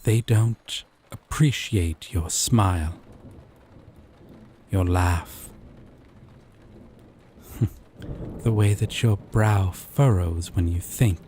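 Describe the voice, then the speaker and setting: Gentle yandere boyfriend, acted by a man: low, very slow, intimate, possessive, rain behind. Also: a little hiss or hum. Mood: adoring, tender. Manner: slow, halting, tender, breathy pauses. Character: gentle, obsessive, possessive yandere lover from a dating sim. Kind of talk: intimate romantic audio roleplay. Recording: rain and thunder ambience behind. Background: rain, thunderstorm.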